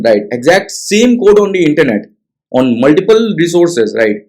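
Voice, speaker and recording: A man's voice: on the high side, poor audio. A young man with an Indian English accent walking through a coding tutorial, talking casually and checking in with frequent tag questions. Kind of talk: screen-share coding tutorial.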